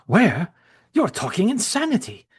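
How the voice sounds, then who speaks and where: A man doing a frantic shouting German officer. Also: high voice, huge pitch swings, measured pace, breathy edge, voice pushed up, heavily acted. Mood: alarmed. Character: panicked German officer friend, male, adult.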